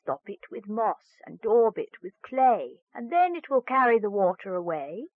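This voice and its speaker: A woman voicing an enchanted frog in a fairy tale, croaking coaxing, singsong pleas full of endearments. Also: mid-range voice, sing-song, measured pace, dry recording, narrow, tinny audio. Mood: knowing, bargaining. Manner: singsong, rhyming entreaties with endearments. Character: goggle-eyed enchanted frog, coaxing and insistent. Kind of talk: fairy tale storybook reading.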